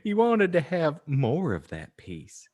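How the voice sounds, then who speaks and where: A man's voice: fairly high pitch, huge pitch swings, slow, a little mellow, exaggerated voice. A man voicing a pious, chirpy housewife who gushes earnestly as she reads out her moralizing fan fiction as a storybook. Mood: earnest, dramatic. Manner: gushing, earnest, exaggerated emphasis. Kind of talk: dramatic storybook narration.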